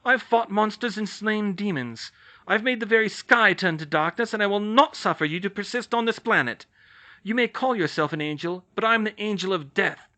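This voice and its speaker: Storybook heroine: fierce, commanding young witch, read by a man, raised high and bright. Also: lively intonation, normal speed. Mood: defiant. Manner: intense, declamatory.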